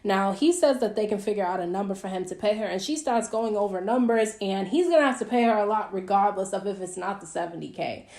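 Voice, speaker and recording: A woman's voice: mid-range voice, fast, few pauses, a little mellow. A young American woman giving a casual, gossipy recap of reality TV, chatty and opinionated with slangy mild swearing. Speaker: YouTube reviewer recapping reality TV.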